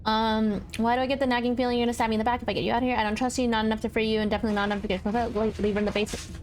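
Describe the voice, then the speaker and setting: A woman's voice: a little high, flat delivery, racing, a little mellow, slight background noise. A woman streaming a video game, commenting casually and reading dialogue choices aloud.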